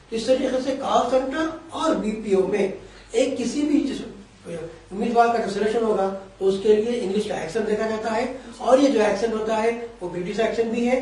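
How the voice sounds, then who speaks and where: A man with a high, mellow voice patiently explains careers in long, winding sentences. He sounds set back from the mic in a very echoey room. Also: distant mic. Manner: long explanatory sentences, Urdu-flavoured Hindi with English terms. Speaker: career guidance expert. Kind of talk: explanatory interview answers.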